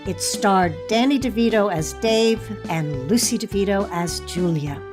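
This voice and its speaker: A woman hosting, introducing and interviewing in a scripted, presenting style. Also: a little high. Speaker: host.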